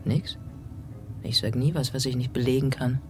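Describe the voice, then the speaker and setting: A man's voice: medium pitch, steady tone, normal speed, a little mellow, soft background music, lightly acted. An actor playing a sardonic male police detective in a radio drama, probing with dry irony. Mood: sly insinuation. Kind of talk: German radio drama dialogue.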